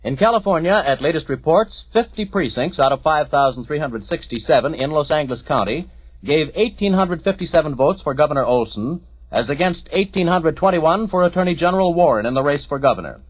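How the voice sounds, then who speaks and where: Husky man reading 1940s radio news. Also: a little high, expressive, no room sound. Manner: measured, matter-of-fact. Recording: dry, muffled 1940s radio recording.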